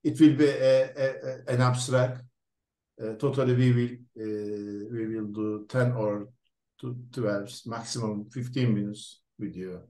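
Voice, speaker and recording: Man, interview host, Turkish native speaking English, mid-pitched, slow, many ums, echoey room. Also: flat delivery, warm tone. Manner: slow, hesitant, many fillers and restarts. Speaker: interview host, lab researcher. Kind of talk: halting interview introduction.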